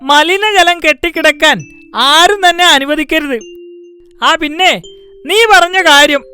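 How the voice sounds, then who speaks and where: A woman's voice: high-pitched, measured pace, bright, forceful tone. A woman voicing a proud male shopkeeper and father in a cartoon, who boasts, lectures and scolds. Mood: scolding. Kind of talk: cartoon dialogue. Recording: music behind the voice.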